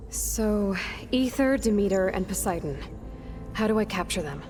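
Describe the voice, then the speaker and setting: A woman's voice: medium pitch, animated, normal speed, a little mellow, close to an everyday voice. A woman playing a practical, wry young heroine in a video game, dry and plain-spoken. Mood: focused, questioning. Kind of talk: naturalistic video game dialogue. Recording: long hall reverb, faint music.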